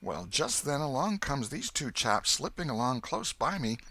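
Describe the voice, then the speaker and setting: Man voicing a high-pitched, anxious country boy. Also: very animated, pushed and bright. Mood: hesitant, earnest. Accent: rural American. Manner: rustic ungrammatical talk, anxious and halting. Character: frightened, ragged vagabond boy, humble and uneducated. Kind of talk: audiobook character voice.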